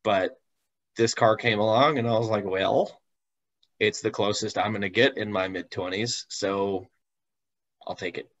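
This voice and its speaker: A man's voice: lowish voice, steady tone, a little slow, long pauses, a bit bright. A man chatting casually as an interview guest, with a wry, jokingly stubborn manner, over slightly dull video-call audio. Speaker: interview guest telling car stories. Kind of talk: casual chat.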